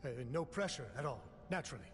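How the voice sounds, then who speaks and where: A man's voice: on the high side, lively intonation. A man playing a polite, businesslike investor with formal speech in a video game, recorded with long reverb. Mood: deferential. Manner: formal, measured courtesy. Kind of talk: game voice acting. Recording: long reverb under loud music.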